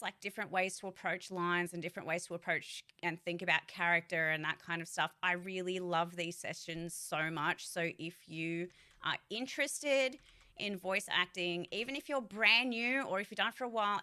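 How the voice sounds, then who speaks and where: Frank, bright-voiced woman vlogging. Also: medium pitch, clear, resonant tone. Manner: candid, rambling, swears freely. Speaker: voice actor and streamer. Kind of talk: casual monthly vlog update.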